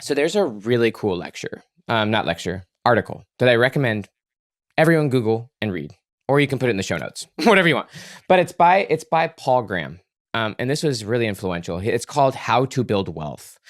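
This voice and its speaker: Male podcast guest, average pitch, conversational expert explainer, earnest and emphatic, dry sound. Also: a bit bright, no echo. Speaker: podcast guest, author and psychologist.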